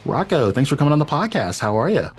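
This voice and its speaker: A man's voice: a little high, very animated, fast talker, faint music behind. A man hosting a podcast, talking casually and loosely with lots of "you know" fillers. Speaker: podcast host. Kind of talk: casual interview chat.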